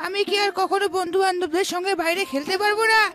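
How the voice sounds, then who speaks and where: A woman's voice: very high-pitched, steady tone, fast, fairly bright tone. A woman voicing a small, innocent, anxious child in a cartoon folk tale. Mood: anxious, pleading. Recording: music behind the voice.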